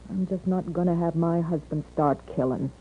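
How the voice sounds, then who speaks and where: An actress playing an outlaw's wary, weary wife in a 1950s radio western, blunt and plain-spoken with a rural American accent. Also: female voice, lowish voice, normal speed, husky. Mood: suspicious. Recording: muffled, band-limited 1950s radio recording.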